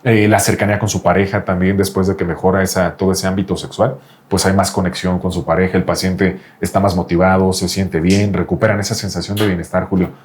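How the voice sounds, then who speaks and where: A man, speaking quickly as a doctor explaining results to his patient. He is insistent and explanatory, and keeps coming back to the listener's name. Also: sits low, level tone, darkish tone, slight background noise. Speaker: doctor specializing in reproductive andrology. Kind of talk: explanatory interview talk with a patient.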